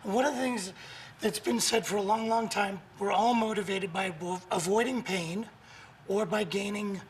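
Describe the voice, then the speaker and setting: A hoarse man with laryngitis, a guest author and coach talking in an upbeat, emphatic, motivational way on a morning show. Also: high-pitched, fairly even intonation, normal speed, a little hiss or hum.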